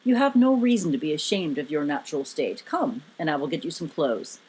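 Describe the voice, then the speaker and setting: Woman doing a big calm alien giant guy, low voice, formal and kinda kingly, storybook style. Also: breathy edge, rough recording. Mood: calm, reassuring. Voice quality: booming. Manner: slow, formal, authoritative. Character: giant telepathic otherworldly man, calm, authoritative, reassuring.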